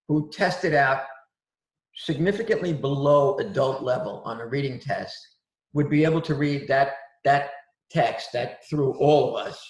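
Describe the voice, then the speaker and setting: A man's voice: mid-range voice, a little slow. A man co-hosting an online teaching course, joking with colleagues and often stumbling over his words. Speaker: course co-host, literacy expert. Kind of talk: casual instructional discussion. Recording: roomy echo.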